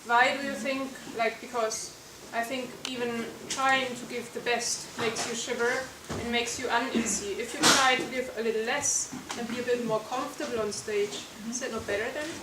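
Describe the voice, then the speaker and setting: A woman's voice: a little high, steady tone, normal speed, few pauses. A woman in the audience asking a question, thinking aloud as she goes. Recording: echoey room, distant mic, noise behind.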